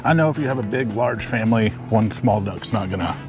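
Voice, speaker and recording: A man co-hosting a casual outdoor cooking demo, talking in a relaxed, chatty way as he explains each step. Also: on the low side, a little fast. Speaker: cooking show co-host. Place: outdoor campsite. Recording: music playing behind.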